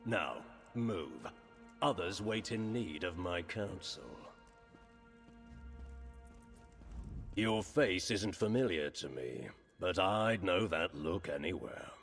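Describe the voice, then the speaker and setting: A man's voice: low voice, animated, takes it slowly, heavily acted. A man playing a chatty, slyly menacing crime lord in a fantasy video game, with an insinuating, falsely courteous manner. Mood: imperious, probing. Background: background music.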